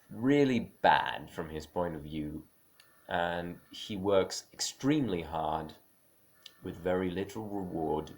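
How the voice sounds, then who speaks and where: A man with a very low voice that keeps sliding into a gravelly fry. He muses aloud without a script, stumbling and restarting often and dropping small laughs between his points. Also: a little mellow. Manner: musing, opinionated. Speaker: speaker on creative careers. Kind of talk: unscripted spoken monologue.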